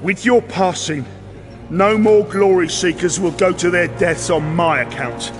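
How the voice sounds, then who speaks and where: High-voiced, blunt mercenary captain. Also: male voice, a little slow, over music. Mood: wary, resigned. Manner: plain-spoken, gruffly commanding. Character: weary, blunt mercenary captain, wary human man. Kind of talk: audio drama acting.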